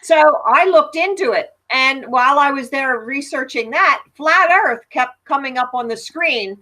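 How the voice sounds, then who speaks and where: An American woman with a loud voice that carries, chatting casually and telling anecdotes with emphasis on an online panel. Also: sits high, lively intonation, unhurried. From United States. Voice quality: loud, projecting voice. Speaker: panel guest.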